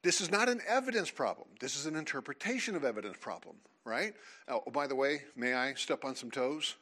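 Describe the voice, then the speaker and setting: A man leading a seminar before a live audience, talking in an animated, teasing way and calling on listeners. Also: mid-range voice, brisk. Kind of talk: lively creationist talk with audience.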